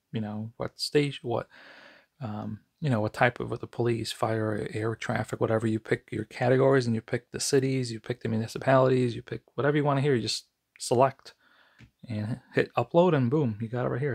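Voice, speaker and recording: Gravelly, husky American man reviewing casually. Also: medium pitch, darkish tone. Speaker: amateur product reviewer. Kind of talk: casual unscripted product review.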